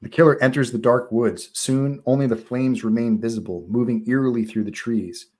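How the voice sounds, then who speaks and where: A man's voice: mid-range voice, steady tone, moderate pace, a little mellow. A man reading stage directions as narrator in a live table read of a horror screenplay.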